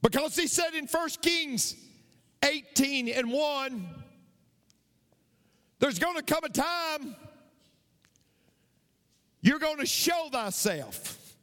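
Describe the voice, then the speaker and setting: Male pastor, lively high voice, long hall reverb, storytelling preacher. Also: slow, pauses often. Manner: animated, builds to shouted preaching. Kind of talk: sermon storytelling.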